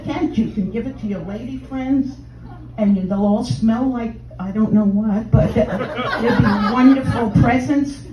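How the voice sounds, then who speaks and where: Older Texan woman, mellow voice, brash bawdy comic heckling the crowd, miked from afar in a dull live room. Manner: brash, bawdy, chatty sales pitch. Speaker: stand-up comedian in a sex-doctor persona. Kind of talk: stand-up routine, addressing audience members. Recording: roomy, distant, dull live room sound.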